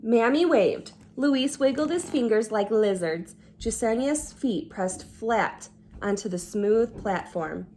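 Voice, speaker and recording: Slow, high-voiced woman reading a story. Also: animated, darkish tone, slight background noise. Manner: deliberate, dramatic phrasing. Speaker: storyteller reading a children's book. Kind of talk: expressive read-aloud narration.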